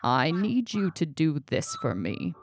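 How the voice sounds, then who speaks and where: A woman's voice: sits low, sing-song, takes it slowly, soft background music, exaggerated voice. A woman voicing a grumpy, weary old male author in a story, acerbic yet grandfatherly and pleading.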